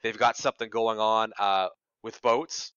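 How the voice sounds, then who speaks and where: A young Canadian man with a bright, forward voice tells stories casually in an interview. He is chatty and self-deprecating. The sound is close and dry but somewhat dull. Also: on the low side, steady tone, a little slow, clear, resonant tone, no room sound. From Ottawa, Canada. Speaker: radio reporter being interviewed. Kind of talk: casual conversational storytelling.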